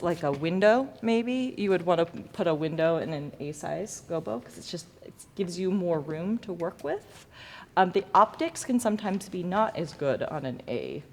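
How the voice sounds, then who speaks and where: A woman teacher giving an informal hands-on demonstration to students, with self-deprecating, jokey asides. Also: on the low side. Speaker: lighting design teacher. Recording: echoey hall, noticeable background noise.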